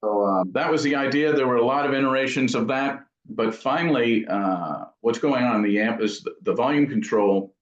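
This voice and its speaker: A man giving loose, meandering explanations in a casual interview as the guest. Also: lowish voice. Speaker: guitar amp builder and guest. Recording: dull, roomy, echoing audio.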